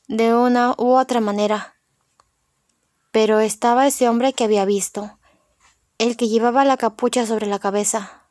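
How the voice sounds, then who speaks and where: A woman narrates a first-person novel as an audiobook. Her voice sits somewhat high. The recording is dry and close, with little room sound. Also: darkish tone, no echo.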